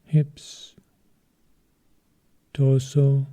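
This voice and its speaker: A man leading a guided meditation as a teacher, speaking calmly and in short, measured phrases with long pauses. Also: medium pitch.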